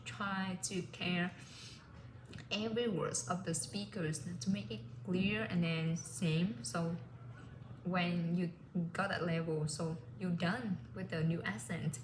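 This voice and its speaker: A woman chats casually, her speech full of fillers and loose restarts. Her voice sits a little low. The recording sounds echoey, with steady noise in the background. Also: slow. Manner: rambling, many fillers and "like". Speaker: language learner vlogger. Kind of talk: casual vlog chat.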